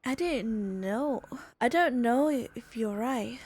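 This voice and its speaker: A woman giving casual gameplay commentary, halting as she thinks aloud. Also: on the high side, lively intonation, darkish tone, slightly rough. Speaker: game streamer. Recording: game music behind the voice.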